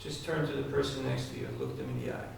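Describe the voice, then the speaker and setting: A man's voice: mid-range voice, wildly swooping pitch, quick pace, creaky, a little mellow. A man giving a devotional talk to a live audience, speaking conversationally and asking his listeners direct questions. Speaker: spiritual speaker. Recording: echoey hall, distant mic, faint noise.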